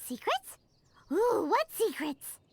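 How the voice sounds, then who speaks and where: Squeaky, swooping little fairy sidekick. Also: female voice, sing-song, slow, darkish tone, slight background noise, heavily acted. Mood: curious. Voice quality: squeaky, childlike. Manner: chatty, cheeky, refers to self in third person. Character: tiny excitable childlike female fairy companion. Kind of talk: video game voice acting. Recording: music behind the voice.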